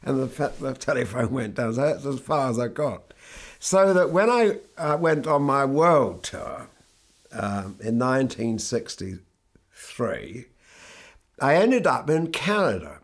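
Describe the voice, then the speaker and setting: An older man, a guitarist, telling anecdotes from his life in a relaxed, wry, conversational way. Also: mid-range voice, a little slow. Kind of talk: reflective anecdotal storytelling.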